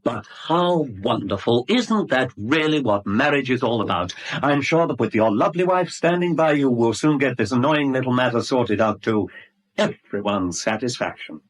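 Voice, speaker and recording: An actor playing a smug middle-aged blackmailer in a 1970s radio drama, suave, wordy and mockingly courteous. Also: male voice, mid-range voice, lively intonation, normal speed, natural voice, rough recording. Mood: smug, leisurely. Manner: suave, wordy, mock-polite, teasing.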